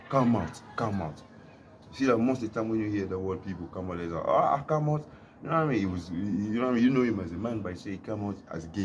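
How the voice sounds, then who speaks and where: A man's voice: fairly low pitch, a little mellow. Rambling African man, noisy recording. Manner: repetitive, keeps asking "you know what I mean?"; smoking while talking. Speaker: vlogger. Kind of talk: rambling monologue to camera. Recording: noisy background hiss.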